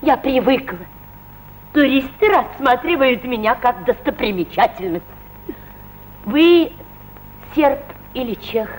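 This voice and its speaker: Picture a fairly high-voiced older woman, an aging painter and mother of a famous pilot. She talks dreamily and theatrically, slipping into soft murmurs about her final days. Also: expressive, slow pace, long pauses, a little hiss or hum, rough recording. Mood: wistful. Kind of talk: stage play acting.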